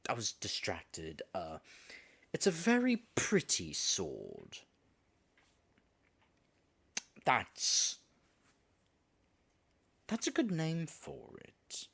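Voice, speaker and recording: Man playing a flustered, low-voiced disguised dragon. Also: creaky, a bit bright, husky, exaggerated voice. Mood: flustered, awed. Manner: nervous, stammering, muttering asides to himself. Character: flustered male dragon disguised as a human guide. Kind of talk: audio roleplay drama acting.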